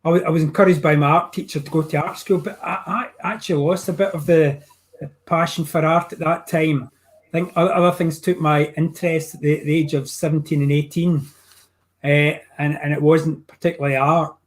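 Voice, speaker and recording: An older man with a Glasgow accent talks over a video call from his home art studio. His voice sits a little high for a man. He chats in a rambling, easygoing way, often restarting his sentences. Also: flat delivery, fairly quick. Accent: Glaswegian. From Scotland. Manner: chatty, rambling with many restarts. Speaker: painting teacher. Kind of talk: casual video call chat.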